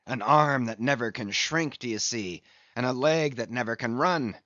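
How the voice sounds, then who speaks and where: A man voicing a gruff, obsessed old whaling captain who growls in archaic seafaring speech. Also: medium pitch, lively intonation, unhurried, bright, forceful tone, dry recording, muffled recording.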